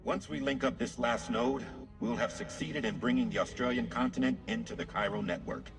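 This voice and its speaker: An actor playing a resolute mission leader in a video game, speaking in a deliberate, earnest way. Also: male voice, medium pitch, gravelly, over music, lightly acted. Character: resolute male mission leader rallying his team. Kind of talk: video game cutscene acting.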